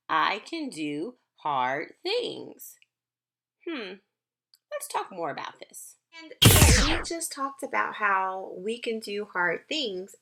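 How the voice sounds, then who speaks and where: A woman school counselor giving an encouraging, nurturing talk to young children, with deliberate, emphatic phrasing and pauses. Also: mid-range voice, pushed and bright.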